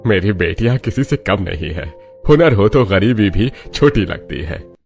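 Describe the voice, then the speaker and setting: Man as middle-aged father, low pitch, measured, proud, cartoon family drama. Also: animated, vocal fry, darkish tone, faint music behind. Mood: proud, resolute.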